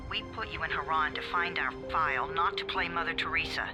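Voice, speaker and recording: A man's voice: on the high side, brisk, music in the background, lightly acted. An actor voicing a cold, condescending male handler in a video game, formal and sardonic. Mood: mocking.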